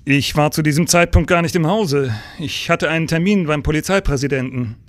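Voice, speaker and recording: An actor playing a weary, commanding middle-aged police chief in a radio crime drama, brisk with pensive hesitations. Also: male voice, a little high, dry recording, natural voice.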